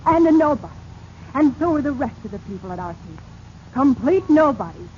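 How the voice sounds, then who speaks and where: An actress playing a resentful, ambitious wife who nags insistently in a 1940s radio drama. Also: female voice, high-pitched, very animated, fairly quick, darkish tone. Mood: bitter, scornful. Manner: scornful, insistent, clipped theatrical delivery. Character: ambitious, resentful, nagging wife demanding status. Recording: muffled, noisy 1940s radio recording.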